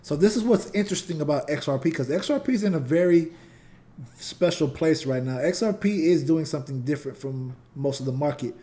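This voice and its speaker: A man with a slightly high yet mellow, warm voice talks through market charts as a live host. He keeps it loose and conversational, addressing his chat directly. His voice has a slight scratchiness to it. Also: expressive, fairly quick. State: voice getting scratchy. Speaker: trading channel host and teacher. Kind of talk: casual live chart analysis.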